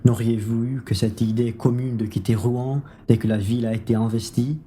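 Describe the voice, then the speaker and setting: A man's voice: medium pitch, fairly even intonation, moderate pace, dark tone, natural voice. A man in a student radio play, acting a blunt, complaining bourgeois wine merchant. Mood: grumbling. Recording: clear background noise.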